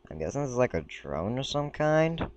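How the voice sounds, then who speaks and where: A man casually commenting while browsing a game page, talking in a rambling, halting way and stumbling as he reads aloud, a little sick. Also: medium pitch, very animated. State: a bit sick. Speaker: gaming video creator. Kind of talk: casual screen-recording commentary.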